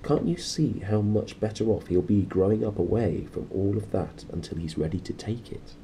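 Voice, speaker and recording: A man voicing a calm old male wizard in a storybook, firm, measured and serious. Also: lowish voice, a little hiss or hum, close to an everyday voice, poor audio.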